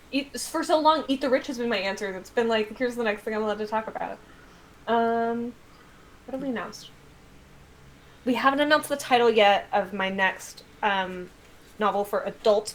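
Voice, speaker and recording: An American woman chatting casually as a guest author, telling stories in an animated, self-deprecating way with some swearing. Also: on the high side, a little hiss or hum, poor audio. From United States. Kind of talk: casual livestream chat.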